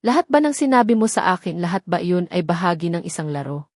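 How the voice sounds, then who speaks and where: A woman's voice: lowish voice, big pitch swings, fast talker, a little mellow, dry recording, studio quality. Woman acting hurt, bewildered young sweetheart, trembling questions. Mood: hurt, angry.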